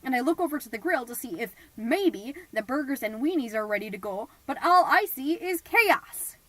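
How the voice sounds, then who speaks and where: A woman's voice: high voice, expressive, moderate pace, a bit bright, no room sound. A woman playing a self-important, theatrical suburban mom who tells her story in a comedic scripted podcast monologue. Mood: dramatic, urgent. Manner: theatrical, pompous, indignant. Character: melodramatic suburban mom, self-important party planner, easily exasperated. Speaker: podcast host character narrating.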